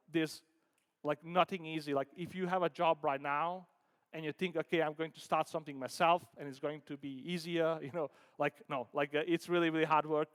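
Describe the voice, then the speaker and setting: A man from Denmark giving an informal conference talk as a startup founder, halting and conversational, peppering sentences with filler words like "like" and "you know". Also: fairly high pitch, fairly bright tone, clean tone. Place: conference hall stage. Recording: echoey hall with long reverb.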